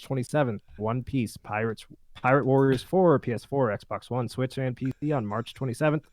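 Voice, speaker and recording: A man's voice: medium pitch, moderate pace, darkish tone, dry recording. A man hosting a casual podcast, chatting with the group and reading through a list. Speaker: podcast host.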